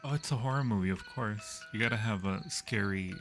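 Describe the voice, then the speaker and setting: Male stream host, deeper register, casual wisecracking film commentary over very prominent background music. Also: fairly quick. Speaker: livestream host. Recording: loud film soundtrack behind the voice. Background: old horror film playing with music.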